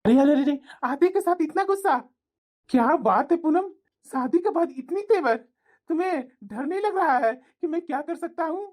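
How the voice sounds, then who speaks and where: A woman acts a villainous ex-boyfriend in a family melodrama. The voice is high, and the delivery is smug, mocking and leering, full of threat. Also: expressive, moderate pace, a little mellow. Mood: smug, menacing. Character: smug, taunting blackmailer ex-boyfriend. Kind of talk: melodramatic family story dialogue.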